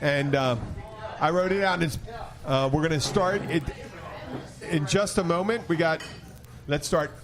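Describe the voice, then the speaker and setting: A man's voice: a little high, a little slow, pauses often, a crowd in the background. A man hosting a podcast in a casual, joking chat style. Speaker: podcast host. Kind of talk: casual comic bar chat.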